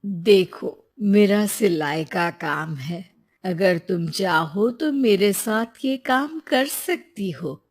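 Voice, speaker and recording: A woman's voice: medium pitch, animated, extremely slow. A woman voicing a helpful, practical seamstress with a reassuring manner in a moral story.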